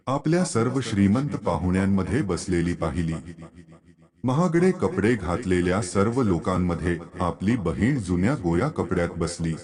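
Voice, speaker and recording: A man tells a scripted story. His voice sits deep and low. The intonation moves widely, giving the storytelling a lively shape.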